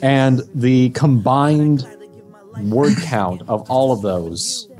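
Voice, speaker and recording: American male guest, casual call chat, mid pitch, rambling delivery. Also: drawn-out words, dark tone, soft background music. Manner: rambling, with many fillers and restarts. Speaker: podcast guest, voice actor and fanfiction narrator. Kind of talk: casual group chat over a video call. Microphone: video call.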